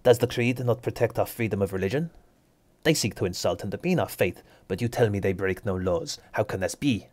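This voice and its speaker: A man's voice: on the low side, a little fast, lightly acted. A man voicing an indignant priestess. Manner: sharp, indignant, demanding. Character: indignant middle-aged female priestess.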